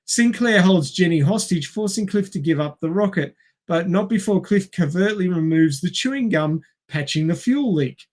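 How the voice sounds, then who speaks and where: Male, slightly high voice, film podcast co-host, casual narration, dry humor, wry delivery. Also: animated, normal speed, a little mellow. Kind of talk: reading a film plot summary with wry asides and casual chat.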